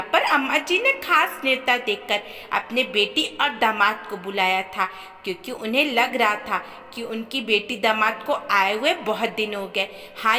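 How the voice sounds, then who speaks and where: A woman tells a family story in a high, very animated voice. Her scripted narration is emphatic and expressive, with a bright edge, and a long reverb trails her words.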